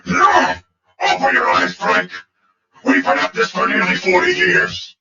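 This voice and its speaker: A man's voice: high voice, wildly swooping pitch, a little slow, pushed and bright, voice pushed up, full character voice. A man voicing a weary, solemn robot leader in a fan audio drama, speaking earnestly and pleadingly. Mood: angry, desperate. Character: weary, pleading heroic male robot commander. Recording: echoey, muffled, distant from the mic.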